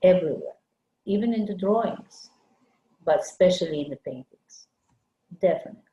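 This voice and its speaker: Low-voiced older woman, soft and halting. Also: lively intonation, roomy sound, dull audio. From Lithuania. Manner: halting, searching for words. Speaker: guest artist. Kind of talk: conversational interview. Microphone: video call.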